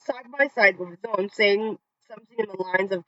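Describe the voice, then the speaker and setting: A storyteller with a bright, forceful tone reads online revenge posts in a chatty way, tripping over a word here and there and restarting it. The voice sits close and dry, with no room sound, and the recording is a little dull and lo-fi. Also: mid-range voice, brisk, no echo. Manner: conversational reading, trips over words and restarts. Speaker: story channel narrator. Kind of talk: reading online revenge stories aloud with casual asides.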